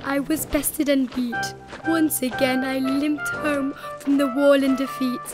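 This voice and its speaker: A woman narrating a rhyming picture book as an expressive, dramatic read-aloud. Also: high voice, unhurried. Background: music.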